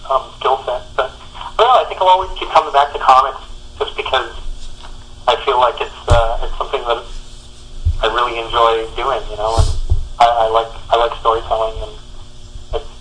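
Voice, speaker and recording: A man's voice: mid-range voice, steady tone, normal speed, long pauses, pushed and bright, slight background noise, rough recording. A man, a comic book artist, answering interview questions reflectively, searching for his words.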